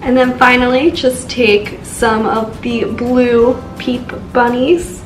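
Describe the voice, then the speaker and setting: A woman's voice: on the high side, steady tone, slow. A young woman with a stuffy, congested voice, chatting casually through a baking tutorial as the channel's host. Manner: chatty, lots of "so" and "just". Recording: roomy, from a distance, faint music.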